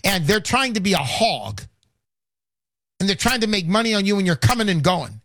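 A man in his sixties hosting a radio call-in show, pitching live in a fervent, emphatic way, often repeating himself, folksy and boastful. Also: sing-song, normal speed, fairly bright tone, dry recording, studio quality. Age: 60s. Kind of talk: live radio sales talk.

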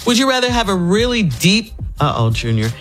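A woman's voice: medium pitch, very animated, fairly quick, soft background music. Giggly playful radio woman. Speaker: radio show co-host running a game segment. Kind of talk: morning radio banter.

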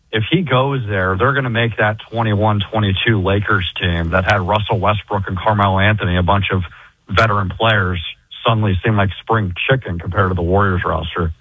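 Husky man on a phone-like line. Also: lowish voice, dry recording. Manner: measured, analytical, loud laugh. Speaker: basketball reporter guest. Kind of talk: casual sports talk.

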